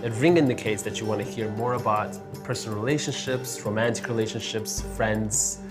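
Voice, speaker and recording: A woman's voice: a bass voice, a little fast, over music. A young woman, raised in Turkey, patiently explaining and demonstrating a coffee fortune-telling ritual in conversation. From Azerbaijan, raised in Turkey. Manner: instructive, patient, laughs lightly.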